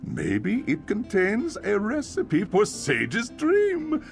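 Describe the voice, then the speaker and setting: A fussy male innkeeper talks in a high-pitched voice that leaps and dips dramatically. He is exasperated and grumbling, a theatrical fantasy game character, with light plucked-guitar music behind him. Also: huge pitch swings, drawn-out words, creaky, heavily acted. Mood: puzzled, hopeful. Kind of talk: scripted video game character acting.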